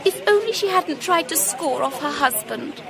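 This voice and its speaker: A woman's voice: high-pitched, expressive, normal speed, creaky, crowd noise. An actress playing an effusive, gossipy, affected British society woman in a theatrical radio drama. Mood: gushing, confiding.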